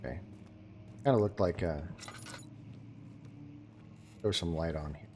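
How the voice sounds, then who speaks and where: Low-voiced chatty male streamer. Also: measured pace, mellow, a little hiss or hum. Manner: chatty, joking, talks to chat while playing. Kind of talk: casual live gameplay commentary.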